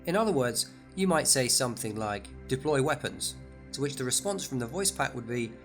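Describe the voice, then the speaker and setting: A man narrating a scripted promotional overview at a mid pitch. He explains each feature in an upbeat, enthusiastic tone. Light music plays underneath him. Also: animated, fairly quick, fairly bright tone. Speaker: product narrator.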